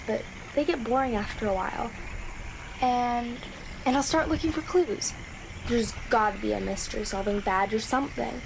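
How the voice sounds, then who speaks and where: A woman's voice: a little high, lightly acted. A woman playing a young girl scout alone in a radio drama, childlike and earnest, anxious but determined. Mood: anxious, self-reassuring. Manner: childlike, earnest, self-reassuring. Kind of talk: audio drama monologue as radio transmission. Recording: clearly noisy radio transmission with ambience.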